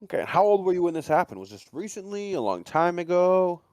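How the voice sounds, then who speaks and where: A man's voice: high-pitched, very animated, fairly quick, a little mellow, clear, resonant tone, no room sound, studio quality. A man hosting a livestream interview in a casual, blunt way. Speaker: host interviewing a guest.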